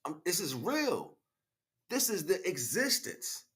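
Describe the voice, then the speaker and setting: An American man giving an emphatic, freestyle spiritual monologue in street slang, repeating phrases as he goes, with a husky voice. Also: high voice, very animated, slow pace, fairly bright tone. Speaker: spiritual livestream speaker.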